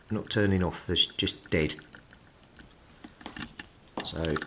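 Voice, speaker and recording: Casual British male DIY electronics presenter, deep register, hesitant think-aloud delivery, faintly noisy home recording. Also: fairly bright tone. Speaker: hobbyist radio repairer presenting a repair video. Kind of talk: casual hands-on repair commentary. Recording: clearly noisy recording with clicks.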